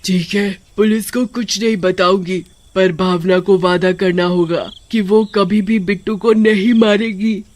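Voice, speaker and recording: A woman voicing a vengeful but motherly ghost witch in an animated horror story, emphatic and full of feeling. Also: medium pitch, a little slow, hardly any pauses, close to an everyday voice. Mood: stern, relenting. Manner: accusing, emphatic, emotional. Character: vengeful, motherly female ghost witch, a wronged young aunt.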